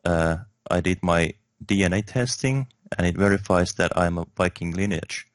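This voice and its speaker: A man from Finland, a guest in a spiritual interview, speaking slowly and hesitantly with many fillers and restarts. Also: low-pitched, no room sound, studio quality.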